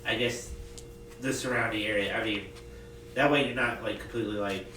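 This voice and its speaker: A man's voice: fairly low pitch, fairly even intonation, brisk, pushed and bright. Male podcast participant, echoey and off-mic, tentative casual conversational phrasing. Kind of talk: casual chat. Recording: echoey, distant mic, faint noise.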